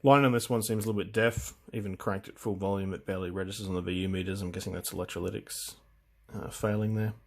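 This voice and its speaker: A man giving a casual, unscripted walkthrough of equipment, speaking in a hesitant, halting way as he thinks aloud. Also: low-pitched, steady tone. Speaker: hobbyist presenter demonstrating vintage audio gear.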